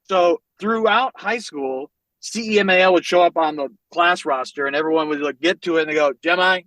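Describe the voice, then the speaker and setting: Animated, swearing man telling family stories. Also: a little high, a little fast, no echo, poor audio. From United States, Northwest Indiana. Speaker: podcast guest. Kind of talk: casual storytelling conversation.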